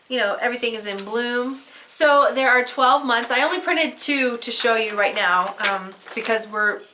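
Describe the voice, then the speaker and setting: A woman's voice: on the high side, sharp tone, slight background noise, low-quality recording. A woman with a head cold and a fading voice, chatting casually and rambling a little as she shows her work. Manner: chatty and rambling, with fillers and restarts; candid, with casual swearing. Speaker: host. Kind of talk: casual show-and-tell craft vlog.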